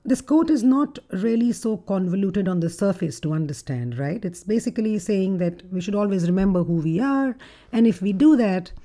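A woman with a deep, gruff voice hosting a reflective solo podcast as a relationship coach, speaking in a measured, thoughtful way. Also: dark tone. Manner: measured, thoughtful delivery with occasional fillers.

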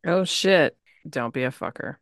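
A woman co-hosting a podcast, reacting with casual banter, swearing and expressive interjections. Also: extremely low pitch, a little slow, vocal fry, no room sound, studio quality. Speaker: podcast co-host.

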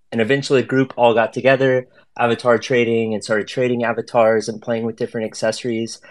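A man speaking as a guest on an online voice chat, explaining and telling stories in a casual, slangy way. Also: on the low side. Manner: slangy, informal. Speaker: guest artist.

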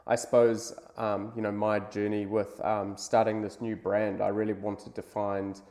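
A man's voice: on the low side, a little slow. A man in his thirties from New Zealand with a New Zealand accent, chatting casually as an interview guest at home, with many "um"s and "you know"s. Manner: relaxed, lots of "um" and "you know". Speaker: guest, brand founder. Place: living room at home.

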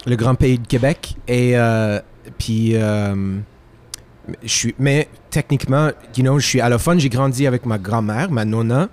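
A man's voice: mid-range voice, unhurried, a little mellow. A Québécois musician guest in a casual interview, switching between French and English with frequent restarts. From Quebec, Canada.

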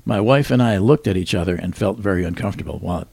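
Middle-aged male co-host, gravelly voice, dry close sound, deadpan joker. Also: low-pitched, fast, no echo. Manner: wry, deadpan punchlines, chuckles at own jokes. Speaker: radio show co-host. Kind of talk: joking news rundown.

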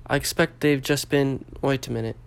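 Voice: mid-range voice, fairly even intonation, moderate pace, vocal fry, clear, resonant tone, close to an everyday voice. Reader acting young boy, storybook dialogue, earnest, urgent, worried, insistent. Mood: suspicious. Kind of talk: storybook character voice.

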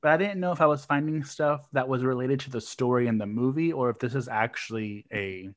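A man's voice: mid-range voice, brisk. A man co-hosting a podcast, chatting in an easygoing, joking way. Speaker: podcast co-host. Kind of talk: casual chat.

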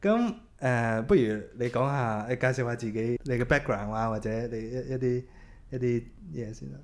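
A man's voice: medium pitch, moderate pace. A man hosting a casual podcast, chatting in an easygoing way and mixing English words into his talk. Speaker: podcast host.

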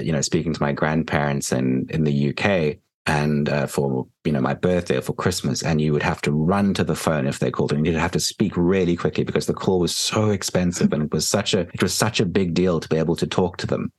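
South African man, 40s, very low voice, reflective podcast guest. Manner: reflective, with frequent ums and restarts. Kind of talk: podcast interview.

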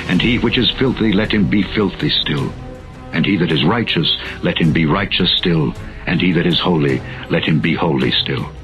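A man narrating a dramatized scripture reading in a solemn, measured, formal manner. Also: low voice, fairly bright tone. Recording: dull lo-fi audio with music behind. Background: background music.

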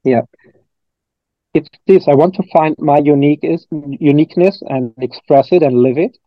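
A man's voice: fairly high pitch, normal speed, dry recording, dull audio. A man from Germany, a coach and trainer answering interview questions in a thoughtful, rambling way, often restarting his sentences.

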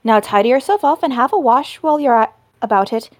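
A woman's voice: a little high, lively intonation, dry recording, clean studio sound, voice pushed up, close to an everyday voice. A woman voicing a bossy, fussy middle-aged housekeeper. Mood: brisk. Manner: bossy, brisk.

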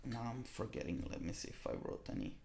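A man's voice: low voice, big pitch swings, quick pace, vocal fry, crowd noise. A man hosting a podcast in casual, informal banter with the odd mild swear word. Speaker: podcast host.

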